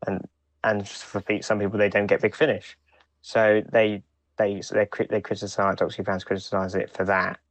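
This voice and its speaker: A man co-hosting a podcast, talking casually in a hesitant, halting way with repeated starts. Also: on the low side, flat delivery, no echo. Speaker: podcast co-host. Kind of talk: casual chat.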